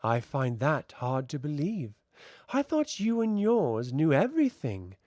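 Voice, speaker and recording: A man's voice: fairly high pitch, sing-song, a little slow, a little mellow, no room sound, clean studio sound. Man as a haughty, frosty otherworldly queen, lilting. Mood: uneasy. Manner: imperious, cold, mocking. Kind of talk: audiobook fantasy character voice.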